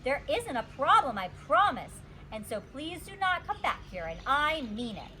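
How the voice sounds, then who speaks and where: A woman's voice: sits high, sing-song, moderate pace, pushed and bright, a little hiss or hum, pitched above the performer's own voice, heavily acted, poor audio. A woman voicing a dramatic, indignant little schoolgirl in a storybook, with emphatic childish phrasing. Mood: insistent.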